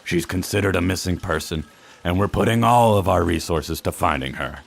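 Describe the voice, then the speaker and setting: A man playing a stern, authoritative police chief in a radio drama, with a gravelly, husky voice and a brusque, commanding manner. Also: sits low, moderate pace.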